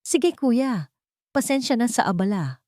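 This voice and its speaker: Female narrator as sincere younger brother, respectful and serious, animated pitch, dry studio sound, audio drama. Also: a little slow, no echo. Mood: earnest, pleading. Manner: earnest, serious, deferential.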